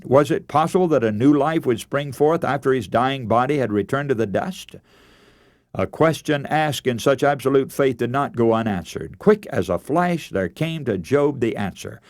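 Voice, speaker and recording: A man's voice: mid-range voice, darkish tone, no echo, studio quality. A man preaching a scripted radio sermon, telling a story in a solemn, formal and rhetorical manner.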